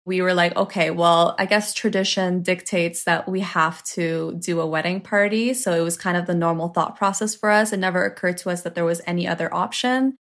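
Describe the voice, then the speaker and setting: A woman's voice: lowish voice, fairly even intonation, brisk, hardly any pauses, a bit bright. A woman in her twenties from Canada, telling her own story in a casual, chatty way with lots of filler words. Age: 20s. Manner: chatty, with filler likes and laughs. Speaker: interview guest.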